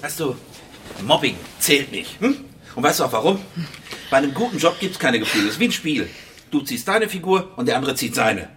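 An actor playing an arrogant, blustering middle-aged banker in a radio crime drama, brusque and stammering when pressed. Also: male voice, mid-range voice, very animated, fairly quick, noisy background, natural voice. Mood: contemptuous, hurried.

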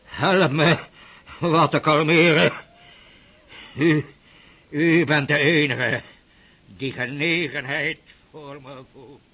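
A raging old prince. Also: male voice, on the high side, steady tone, slow, pauses often, breathy edge, heavily acted. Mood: bitter. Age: older. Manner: rants and shouts, suspicious. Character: irascible old male Russian prince, domineering. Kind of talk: radio play acting.

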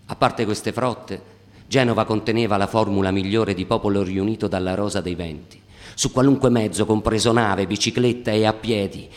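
A man performer giving a dramatic literary reading in the style of radio theatre. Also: on the low side. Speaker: stage performer. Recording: long reverb on the voice.

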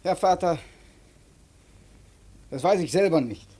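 An actor playing a sullen, evasive young artist son in a classic German radio play, answering in a defensive, offhand way with a husky voice. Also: male voice, high voice, animated, slow, mellow, full character voice. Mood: defiant, defensive. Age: young adult.

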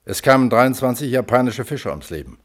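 An actor playing a sceptical, reflective panel member who questions a scientist pensively and probingly in a German radio play. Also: male voice, medium pitch, animated, a little fast, lightly acted.

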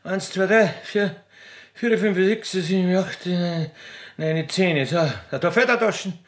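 A man's voice: high voice, unhurried, pitched above the performer's own voice. A man voicing a fat, bossy middle-aged widow with an Austrian accent, fussy and indignant, in a comic radio play. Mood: flustered, bossy. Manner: breathless, fussy, repetitive scolding.

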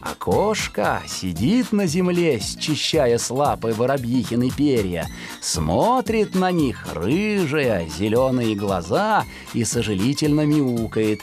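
Animated storyteller with a very wide pitch range. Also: slow, few pauses, faint music behind. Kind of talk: expressive scripted narration of a children's animal tale.

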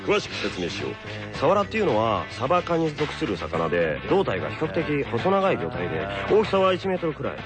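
A man's voice: medium pitch, huge pitch swings, fairly quick. An actor playing a flashy, hyped-up male radio DJ who peppers his showy patter with slangy English catchphrases, in a scripted comedy show. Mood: showy explaining. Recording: music bed under the voice. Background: music.

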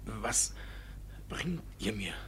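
An actor in a 1950s German radio drama playing a shifty, flustered older village judge with a husky voice and a stammering, evasive manner. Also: male voice, on the low side, big pitch swings, drawn-out words, a bit bright, exaggerated voice. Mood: uneasy, furtive. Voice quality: husky, gravelly.

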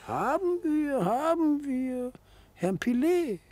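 Theatrical old lawyer, swooping delivery. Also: male voice, very high-pitched, sing-song, takes it slowly, a little mellow, no room sound, heavily acted. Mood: exuberant. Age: older. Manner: pompous, old-fashioned, florid phrasing. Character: genial, theatrical old retired defence lawyer, slyly coaxing. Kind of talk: German radio play acting.

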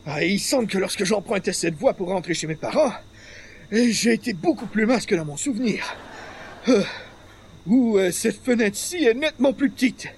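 A man's voice: high voice, lively intonation, normal speed, slight background noise. An actor playing a pompous, hapless male columnist with florid, formal wording in a radio comedy. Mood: determined, self-satisfied. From Quebec. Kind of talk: 1940s-style radio comedy acting.

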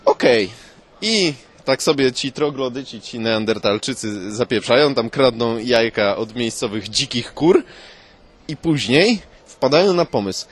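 A man's voice: medium pitch, lively intonation, measured pace. Rambling sweary man telling comic stories. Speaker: comic guest posing as a food history expert. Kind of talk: improvised comic storytelling, casual chat.

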